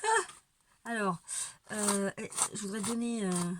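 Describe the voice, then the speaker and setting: A woman's voice: mid-range voice, steady tone, takes it slowly. A woman with a cold, talking through a casual painting demonstration at home, hesitant and rambling with repeated words and wry asides. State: stuffy-nosed with a cold. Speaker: amateur painter showing her technique. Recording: home recording with clear background noise. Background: dog barking now and then.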